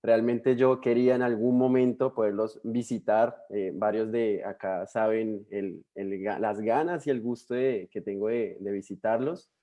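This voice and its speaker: A man's voice: on the low side, steady tone, a little slow, few pauses, lo-fi sound. A young man with a Colombian accent, a missionary introducing himself to a church over a video call in a friendly, chatty way with many fillers and restarts. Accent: Colombian Spanish. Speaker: missionary guest speaker. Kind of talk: warm personal introduction to a church.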